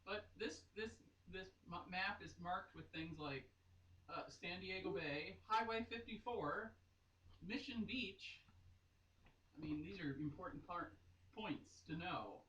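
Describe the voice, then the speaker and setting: A woman's voice: fairly low pitch, slow, a bit bright, distant mic. A woman chatting casually with a friend in a silly, self-deprecating way. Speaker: guest reader. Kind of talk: casual banter between friends. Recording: noisy, roomy, off-mic home recording.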